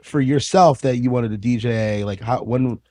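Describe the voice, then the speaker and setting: A man hosting a casual interview, eager and chatty, often cutting in with quick agreement. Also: mid-range voice, warm tone, no echo, clean studio sound. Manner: eager, chatty, affirming with "yeah". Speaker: podcast interviewer.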